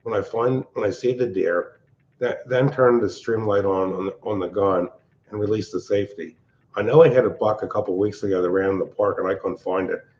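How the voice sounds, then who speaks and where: An older man chats on a late-night livestream, his voice loud and pitched on the low side. He talks in a blunt, rambling, irritable way, speaking from a big open-plan house. The audio is dull and lo-fi. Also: steady tone, a little fast. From Pennsylvania. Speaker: livestream host. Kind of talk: casual livestream chat.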